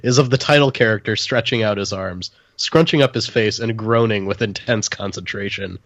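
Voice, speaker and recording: A man's voice: fairly low pitch, normal speed, a bit bright, no echo, dull audio. A man co-hosting a podcast, chatting casually about films in a wry, jokey way and sometimes reading passages aloud. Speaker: podcast co-host. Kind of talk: casual comedic film chat, reading article passages aloud.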